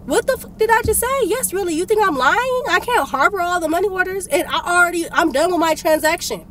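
A woman's voice: extremely high pitch, animated, a little fast, noisy background. A young woman vlogger, sick and congested, telling a story animatedly and venting in a parked car, filmed on a phone. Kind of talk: casual vlog rant. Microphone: phone camera on dashboard.

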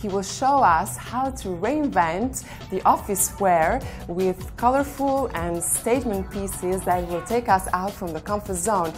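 A woman's voice: medium pitch, unhurried, hardly any pauses. Effusive woman hosting a fashion show. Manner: upbeat, effusive compliments. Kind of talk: presenting and interviewing. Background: background music.

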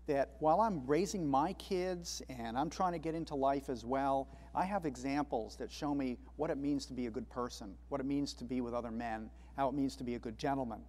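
A man's voice: mid-range voice, brisk, hardly any pauses, darkish tone, in a large hall. A man speaking reflectively on a panel discussion, acting out imagined dialogue as he explains. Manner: reflective, acts out quoted dialogue.

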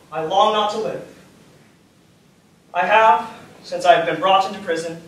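A man on stage playing a devout, condemned statesman who speaks in measured, formal oratory with dignity and defiance. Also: sits high, a bit bright. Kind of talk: stage play acting. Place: theatre stage, indoors. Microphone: far camera in audience. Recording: echoey theatre, distant mic, faint noise.

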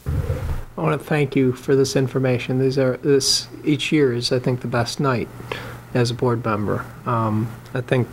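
A man in his forties, a school board member, speaking haltingly with many pauses at a meeting through a desk microphone. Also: medium pitch, level tone, a little hiss or hum. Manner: halting, many fillers and restarts. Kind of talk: meeting remarks.

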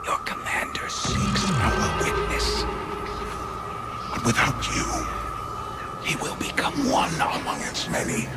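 An actor voicing a ghostly, imprisoned dissenter spirit in a video game, speaking in breathy whispers and solemn, cryptic pronouncements. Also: male voice, medium pitch, huge pitch swings, takes it slowly, pauses often, loud music behind the voice. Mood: ominous.

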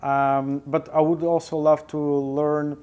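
A man's voice: fairly high pitch, level tone, slow, highs cut off. A man in his forties with a Portuguese accent, answering interview questions thoughtfully with many hesitations, on a lapel mic in a studio. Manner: thoughtful, frequent filler hesitations. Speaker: interview guest, photojournalist. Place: indoor studio with sofa.

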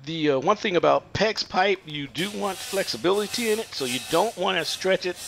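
A man working as a plumber, explaining a pipe installation in an unfinished house, pausing often with fillers. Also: high-pitched, animated, vocal fry, a bit bright, slight background noise. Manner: halting, many "uh" fillers. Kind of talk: hands-on how-to explanation. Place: unfinished house under construction, indoors.

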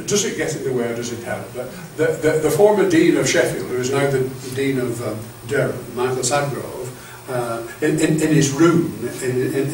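A man's voice: medium pitch, darkish tone. An older man giving a chatty, anecdotal training talk to clergy, often restarting with stammered repeats, heard from a camera across a meeting room. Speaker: bishop leading clergy training. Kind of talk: lecture to clergy. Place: classroom-sized meeting room with projector screen. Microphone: far camera. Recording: echoey room, far from the mic, faint hiss.

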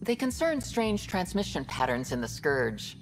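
A woman's voice: lowish voice, very animated, measured pace, a little hiss or hum, rough recording. A woman voicing a precise, formal female scientist in a video game. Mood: measured. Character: measured female scientist doctor. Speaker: doctor.

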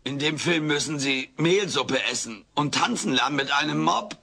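Cheeky furry alien for a sitcom radio play, bright punchy voice, hammy mock-grand delivery. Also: male voice, on the high side, normal speed, creaky, husky, exaggerated voice. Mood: worried, scheming. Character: wisecracking, meddling furry alien houseguest, male. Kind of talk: dubbed sitcom audio play acting.

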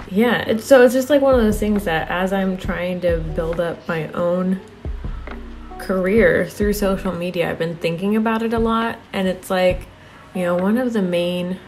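Young female vlogger, slow, slightly raspy, hesitant, echoey home room. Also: medium pitch, darkish tone. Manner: halting, many fillers and restarts. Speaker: art vlogger. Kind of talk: casual confessional talk. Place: home studio room with desk and monitors.

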